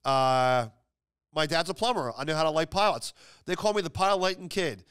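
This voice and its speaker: A man plays a cocky young teen in a comic story. He brags over and over, getting more worked up, in a clear, slightly high voice. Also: a little fast, no room sound, clean studio sound, close to an everyday voice. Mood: boastful. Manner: boastful, repetitive, builds up excitedly. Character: boastful eighth-grade boy bragging about his skills.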